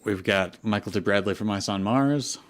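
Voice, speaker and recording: A man's voice: fairly low pitch, moderate pace. A man hosting a casual livestream, speaking in an informal, somewhat hesitant way as he introduces things and reads aloud. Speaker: host.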